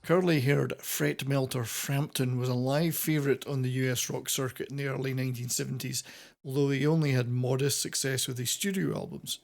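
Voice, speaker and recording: A man's voice: medium pitch, fairly even intonation, fairly quick, creaky. A man hosting a semi-scripted countdown commentary on classic rock, with wordy, playfully florid presenter phrasing. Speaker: host of a classic rock video channel.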